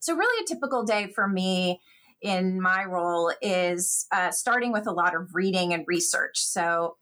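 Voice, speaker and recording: A woman working in finance, speaking as an interview guest in a conversational, explanatory way with many softening qualifiers. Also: fairly low pitch, lively intonation, moderate pace.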